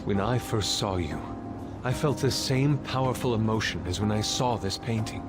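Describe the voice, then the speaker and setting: A man's voice: on the low side, moderate pace, natural voice. An actor voicing a proud, formal young art student, loyal to his teacher, with theatrical diction in an English game dub. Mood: earnest, formal. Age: young adult. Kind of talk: dramatic English video game dub acting. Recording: music behind the voice.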